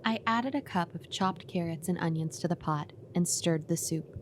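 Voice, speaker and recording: An actress playing an uneasy, obedient woman who tells her eerie story in a measured way in a radio horror play. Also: on the low side, a little hiss or hum, close to an everyday voice. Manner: measured, first-person storytelling.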